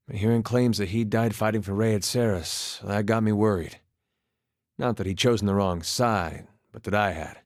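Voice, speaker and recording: A man's voice: lowish voice, no room sound, studio quality, close to an everyday voice. A man voicing a laid-back, wry farmer turned soldier in a video game, with a plainspoken, understated folksy manner. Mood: reflective, troubled. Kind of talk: performed game dialogue.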